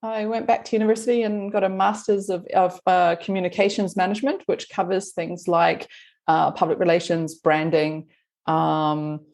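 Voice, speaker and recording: A woman in her forties from New Zealand with a mixed Kiwi accent, a guest telling her career story in a relaxed interview, full of ums and easy laughs. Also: lowish voice, moderate pace, vocal fry. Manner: chatty, many ums, laughs easily. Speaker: guest, marketing consultant. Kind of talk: conversational interview.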